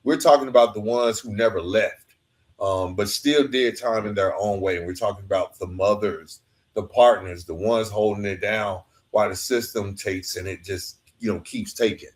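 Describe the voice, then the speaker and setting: A man's voice: lowish voice, moderate pace. A man hosting a podcast and interviewing a guest in an informal, conversational way, often turning aside to speak to listeners.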